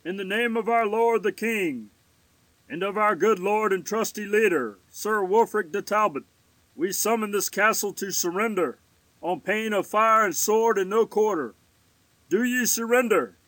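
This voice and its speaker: A woman's voice: mid-range voice, measured pace, fairly bright tone, dry recording, close to an everyday voice, poor audio. A woman voicing a stern medieval herald, a man who declaims formal challenges in a children's storybook. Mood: stern proclamation. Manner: declamatory, pompous.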